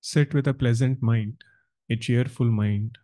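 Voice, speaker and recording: A man leading a guided meditation as a spiritual teacher, speaking in calm, measured, deliberate short phrases. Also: mid-range voice. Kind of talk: slow guided meditation instruction.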